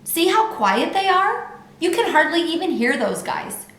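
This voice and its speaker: A woman's voice: extremely high pitch, very animated, unhurried, a bit bright, pitched above the performer's own voice, full character voice. A woman reading a children's book as a brash, bragging little girl who talks in childlike, emphatic bad grammar. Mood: boastful. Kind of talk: children's book read-aloud. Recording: roomy echo with background noise.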